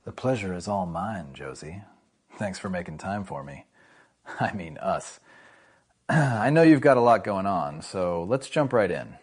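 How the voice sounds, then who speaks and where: A man's voice: low-pitched, expressive, measured pace, slightly rough. A man hosting a podcast, reading a polished, playful introduction and then interviewing his guest. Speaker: podcast host. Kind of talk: scripted show introduction and interview.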